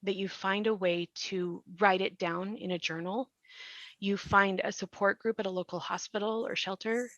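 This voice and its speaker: A woman host and advocate speaking in an earnest, reflective way, measured and deliberate with pauses. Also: medium pitch, fairly even intonation, fairly bright tone, no echo, highs cut off.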